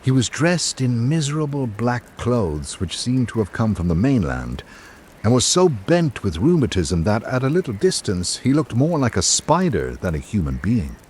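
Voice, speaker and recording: An actor playing a reflective literary traveller, narrating a radio drama in a measured, formal way. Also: male voice, medium pitch, very animated, darkish tone, slight background noise, close to an everyday voice. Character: reflective literary traveller recalling island life. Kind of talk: scripted first-person narration.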